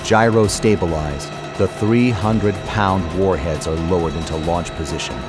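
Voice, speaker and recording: An actor playing a brooding cyborg fighter pilot, narrating his war in a solemn, measured, intense way. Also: sits low, music in the background. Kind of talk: dramatic scripted narration, audio drama.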